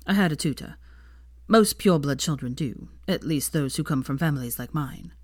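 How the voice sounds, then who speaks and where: A woman's voice: sits low, animated, moderate pace, darkish tone, dry recording. A woman voicing a dry, scoffing young male teacher from a privileged family, drawling and teasing, in a story reading.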